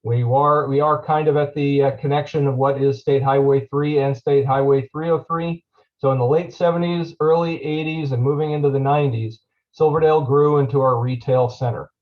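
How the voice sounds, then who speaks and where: A man's voice: mid-range voice, fairly even intonation, normal speed, phone-quality sound. Man presenting at a county meeting. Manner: frequent fillers, loose and conversational. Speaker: deputy county administrator.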